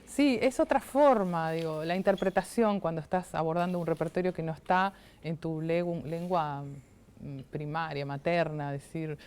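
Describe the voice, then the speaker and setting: A middle-aged Uruguayan woman, a singer-songwriter, chatting in an interview, animated and often restarting as she searches for words. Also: low voice, normal speed. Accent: Uruguayan Spanish. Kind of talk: casual interview chat.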